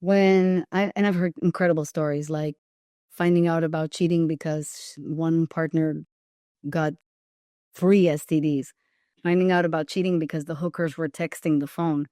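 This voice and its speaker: A woman's voice: deep voice, steady tone, warm tone, no room sound. Emphatic Israeli woman, dry close mic. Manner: emphatic, measured, repeats phrases for weight. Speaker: guest. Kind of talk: podcast interview.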